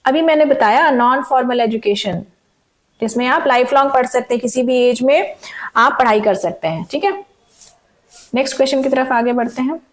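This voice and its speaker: A woman with a sore throat teaching an exam-prep lesson, reading questions out slowly and checking in with students often. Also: high-pitched, poor audio. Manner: frequent "theek hai" checks, reads questions slowly in English. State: sore throat, voice cracks at times. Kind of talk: exam-prep lesson mixing Hindi and English.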